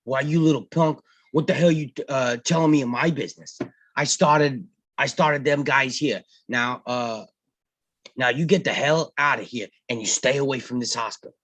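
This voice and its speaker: An actor at a table read playing a gruff, bullying police captain who blusters and barks orders. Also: male voice, medium pitch, normal speed, fairly bright tone, dry recording, close to an everyday voice. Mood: angry, bullying. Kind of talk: live table read of a film script.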